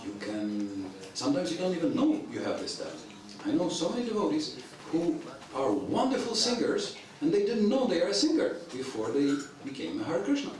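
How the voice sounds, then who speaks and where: A man giving a devotional lecture in English, which is not his first language, speaking haltingly with frequent throat clearing. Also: on the high side, darkish tone. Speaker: visiting preacher. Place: temple hall. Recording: echoey temple hall, mic at a distance, audience heard.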